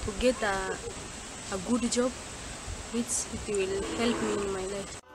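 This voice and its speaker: A woman from Kenya, a secretarial student, speaking slowly and shyly about her future. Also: medium pitch, fairly even intonation, poor audio. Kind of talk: interview statement.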